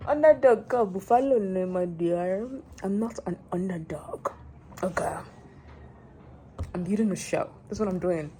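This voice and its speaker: A woman with a Nigerian accent rambling casually in a personal vlog, excitable and playful, repeating words for emphasis, over a noisy recording. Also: on the low side. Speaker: solo animator and showrunner.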